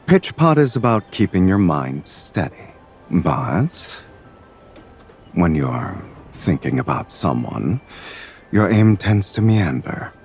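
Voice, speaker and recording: A suave game love interest, really low voice, lilting and wry, unhurried, faint music behind. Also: male voice, big pitch swings, darkish tone, exaggerated voice. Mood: amused, teasing. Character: suave, teasing, self-assured adult male love interest. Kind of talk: video game cutscene voice acting.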